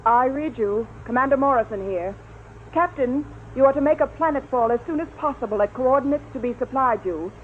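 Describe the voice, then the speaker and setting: Woman acting very high-voiced commander, vintage radio. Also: brisk, phone-quality sound, natural voice. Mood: commanding. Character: female space commander, decisive, tense, authoritative. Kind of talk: 1950s radio drama acting. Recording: thin, hissy old radio recording.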